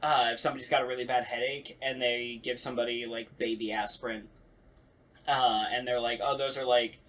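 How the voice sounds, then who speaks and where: A man's voice: mid-range voice, little pitch movement, fairly quick, a bit bright. A man, a voice actor, chatting casually on a talkback podcast and acting out bits of dialogue as he tells stories. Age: adult. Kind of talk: casual chat.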